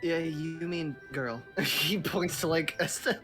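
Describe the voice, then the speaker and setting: A man's voice: a little high, slow pace, fairly bright tone, soft background music. A man bantering casually as a streamer and amateur voice actor on a fandub stream.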